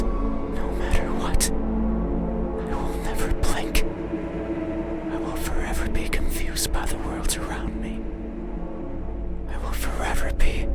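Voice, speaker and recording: A creepy, obsessive night creature whispers slowly and deliberately, all breath and almost no voice. It muses in hushed questions like a lonely watcher in a horror game. Eerie music plays loudly behind it. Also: mid-range voice. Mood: haunted, searching. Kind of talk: whispered horror visual novel monologue.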